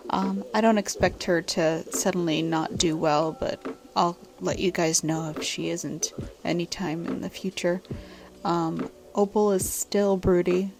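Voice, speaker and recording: A woman with a scratchy, congested-sounding throat, narrating a journaling video in a soft, half-whispered voice. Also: lowish voice, vocal fry. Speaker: journaling vlogger. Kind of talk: soft-spoken voice-over narration. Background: lo-fi music.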